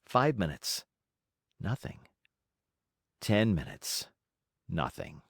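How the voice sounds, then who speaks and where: A man's voice: sits low, sing-song, slow, gravelly, breathy edge, no room sound, clean studio sound. A man narrating a children's story as an audiobook reader, in a theatrical, emphatic way.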